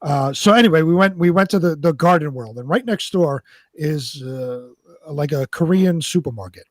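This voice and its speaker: A man with a slightly high voice, recorded very dry, talks casually as a show co-host. He rambles through his stories, pausing and doubling back on his words. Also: no echo. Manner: rambling, halting storyteller. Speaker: co-host of a live online show. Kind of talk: casual chat.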